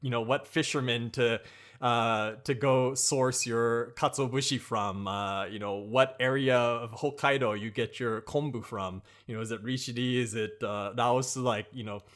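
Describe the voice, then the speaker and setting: Man, podcast guest, casual interview, thoughtful rambling answers, mid-pitched voice. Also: steady tone, measured pace, a bit bright. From United States. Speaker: guest, Japanese cooking teacher.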